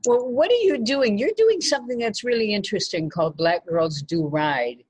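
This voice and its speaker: A woman hosts a radio magazine interview and asks curious, friendly questions. Her voice is breathy, and the sound is dry and close with no room around it. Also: medium pitch, lively intonation, normal speed, a little mellow, no echo. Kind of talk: interview hosting.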